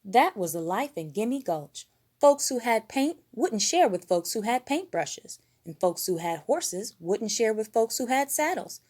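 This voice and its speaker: A woman reading a children's storybook aloud in an expressive narrating style. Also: fairly high pitch, a little slow.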